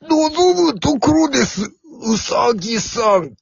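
A woman voicing a sly old tortoise in a comic radio drama, talking like a theatrical villain with a creaky voice. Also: on the high side, very animated, no room sound, heavily acted. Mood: confident. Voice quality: gravelly, creaky. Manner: theatrical, slow, drawn-out villainous delivery. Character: sly old tortoise, scheming slow-footed mock villain. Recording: dull, lo-fi recording.

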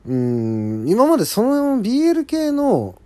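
A man's voice: extremely high pitch, huge pitch swings, measured pace, mellow, dry recording. A man hosting a solo show, giving a casual personal update to listeners in a polite, conversational manner. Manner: polite, apologetic, conversational with frequent agreeing asides. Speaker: solo audio show host.

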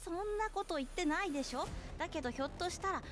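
A woman playing a young female roommate, caring but quick to blame, in an audio drama. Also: very high voice, expressive, fairly bright tone, faint music behind. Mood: indignant.

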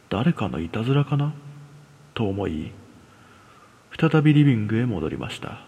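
Male narrator, scripted horror storytelling, lively pitch swings, husky creaky voice, dramatic, long reverb.

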